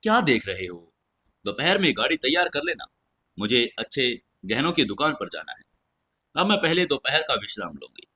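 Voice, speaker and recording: Man voicing a cold imperious young noblewoman, forceful. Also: medium pitch, sing-song, normal speed, husky, exaggerated voice. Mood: cold, commanding. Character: cold, commanding young noblewoman, dismissive and mocking.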